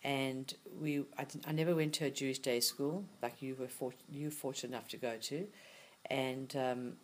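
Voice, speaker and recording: A man's voice: mid-range voice, steady tone, normal speed. A man from South Africa, a parent, answering an interview in a rambling, casual way with fillers.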